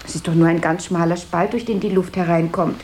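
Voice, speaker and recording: A woman's voice: lowish voice, fairly even intonation, brisk, darkish tone, natural voice. A woman playing a chatty, flighty, deferential secretary in a radio play, rambling on and eager to placate. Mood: chatty, placating. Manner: rambling, babbling small talk, eager to placate. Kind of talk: 1970s German radio play acting. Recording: noisy 1970s radio drama recording.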